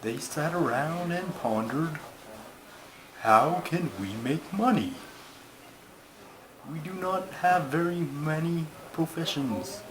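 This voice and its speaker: A man voicing a pompous, schoolmasterly turtle puppet who tells stories in a ponderous, formal, easily put-out way. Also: a little high. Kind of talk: comic scripted storytelling. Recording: noisy amateur home recording.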